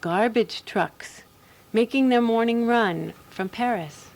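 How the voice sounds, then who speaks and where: An actress playing a calm, practical woman detective in a radio drama, telling her case in a composed, deliberate way. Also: on the high side. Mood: explaining, confident. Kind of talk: radio drama dialogue and first-person narration.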